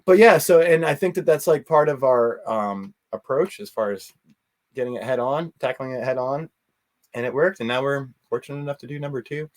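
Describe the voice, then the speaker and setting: Male interview guest, mid-pitched voice, earnest and rambling, conversational and halting delivery.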